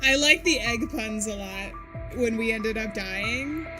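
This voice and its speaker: A woman's voice: fairly high pitch, unhurried, poor audio. Giggly woman streaming games over music. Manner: chatty, laughs often, reacts loudly to the game. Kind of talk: live game stream chat. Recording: game music behind the voice.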